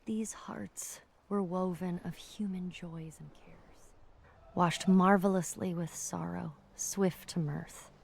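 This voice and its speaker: A woman reading quotations for game audio logs in a deliberate, contemplative manner. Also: lowish voice, steady tone, vocal fry, a little mellow, slight background noise.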